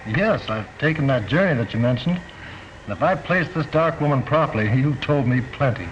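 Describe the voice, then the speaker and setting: A man's voice: medium pitch, expressive, normal speed, lightly acted. A man playing a dry, skeptical guest. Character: skeptical man, calm and dismissive. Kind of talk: 1940s radio acting. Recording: dull old radio recording with hiss.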